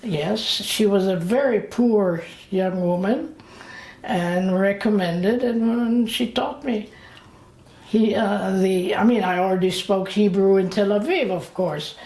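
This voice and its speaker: Woman in her late eighties, interviewee, slow and gravelly, halting speech, echoey room. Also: medium pitch, darkish tone. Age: late 80s. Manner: halting, with frequent fillers and pauses. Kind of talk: slow, reflective memories. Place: indoors. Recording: roomy echo.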